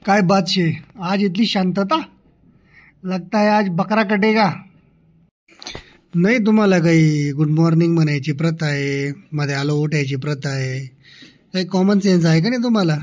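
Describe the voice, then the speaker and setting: Man as a high-pitched, scolding village schoolmaster. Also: normal speed, close to an everyday voice. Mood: sarcastic scolding. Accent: Khandeshi Ahirani. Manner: sarcastic, scolding, bossy. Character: stern, sarcastic village schoolmaster scolding his class. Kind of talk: comedy cartoon dialogue.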